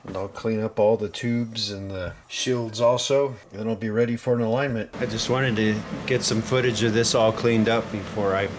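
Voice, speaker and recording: A man's voice: on the low side, steady tone, normal speed, few pauses, a little hiss or hum. A man narrating a hands-on repair casually, thinking aloud as he works. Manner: thinking aloud while working. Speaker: hobbyist radio repairer.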